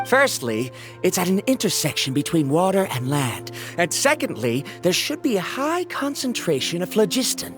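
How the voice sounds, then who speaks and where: An actor playing an eager young male scholar in a video game, lecturing about his theories in a pedantic way. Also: sits high. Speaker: researcher. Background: game music.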